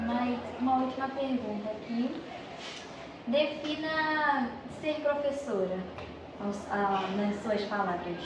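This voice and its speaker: A woman's voice: fairly high pitch, takes it slowly. A young Brazilian woman hosting a podcast interview in a casual, chatty style. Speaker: podcast host. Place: echoey indoor room, far from the mic. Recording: echoey room, distant mic, noisy background.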